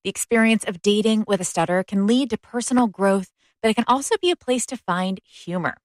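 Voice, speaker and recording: A woman's voice: mid-range voice, a little fast, no echo. American woman radio host, close dry sound. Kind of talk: public radio interview.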